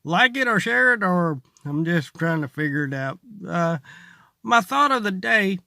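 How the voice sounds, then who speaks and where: A man with a creaky voice giving a casual, rambling, sarcastic comedy monologue, recorded in a car. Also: high-pitched, expressive, measured pace, sharp tone, dry recording, studio quality. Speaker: stand-up comedian, vlogger. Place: inside a parked car.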